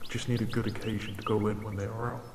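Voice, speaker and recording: A man's voice: fairly low pitch, little pitch movement, fast talker, darkish tone, slight background noise, natural voice. A man playing a furtive burglar muttering short phrases to himself in a radio drama. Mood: scheming. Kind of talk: amateur radio drama monologue. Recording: long echoing reverb, music behind.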